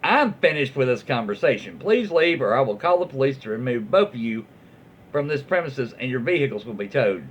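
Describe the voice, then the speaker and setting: A man's voice: medium pitch, sing-song, brisk, lightly acted. A man with a Southern US accent voicing a firm, fed-up tradesperson refusing free work in a story reading. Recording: dull lo-fi audio with faint noise.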